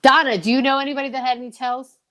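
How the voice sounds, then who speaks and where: A woman's voice: high-pitched, fairly even intonation, rapid-fire, a bit bright. A woman hosting a casual chat show, talking in an effusive, encouraging manner. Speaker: show host.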